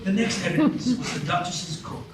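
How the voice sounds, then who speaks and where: A man's voice: high-pitched, lively intonation, a little fast. Man narrating, echoey and noisy. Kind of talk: storybook narration in a live radio play. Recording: echoey room from a distance, loud noise.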